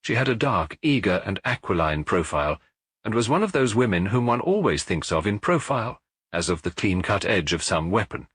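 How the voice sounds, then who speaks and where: A British man reading a detective story as an audiobook, in a measured, precise literary narration. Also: fairly low pitch, sing-song, fairly bright tone, breathy edge, no room sound, clean studio sound.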